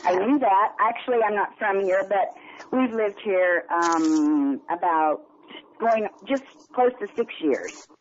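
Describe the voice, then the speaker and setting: A woman's voice: medium pitch, slow. A woman in her sixties with an American Midwestern accent, telling her personal story casually as a caller on the telephone. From Kansas, United States. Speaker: caller, retired hairstylist. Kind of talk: casual personal account on a call. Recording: dull phone line, faint noise.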